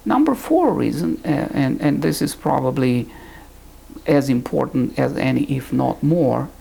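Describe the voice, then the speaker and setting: Gravelly man teaching. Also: medium pitch, expressive, unhurried, mellow. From Romania. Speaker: Bible teacher. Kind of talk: recorded teaching talk to camera.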